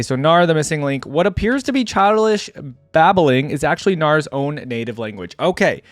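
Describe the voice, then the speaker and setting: A man's voice: fairly high pitch, no echo. Man giving casual, dry-sounding reaction commentary. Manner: informal, thinks aloud while reading out text. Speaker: host of a game reaction video.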